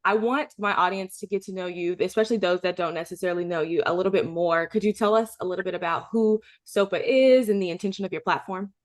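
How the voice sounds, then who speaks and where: A woman's voice: fairly low pitch, fast talker, few pauses. A young adult woman hosting a podcast, from Chicago, chatting with enthusiasm and saying y'all and okay a lot. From Chicago, USA. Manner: enthusiastic, warm-hearted, says y'all and okay often. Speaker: podcast host. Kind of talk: casual interview chat.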